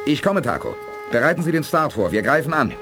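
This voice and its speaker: A man's voice: on the high side, big pitch swings, a little fast, music in the background. An actor playing a resolute, authoritative male space commander in a radio play. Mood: urgent command. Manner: crisp, authoritative orders. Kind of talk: 1980s German radio play acting.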